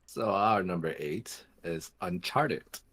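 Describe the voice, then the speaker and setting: A man's voice: sits low, slow pace, a bit bright, breathy edge. A man who is sick, co-hosting a podcast in giggly, casual chat. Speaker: podcast co-host.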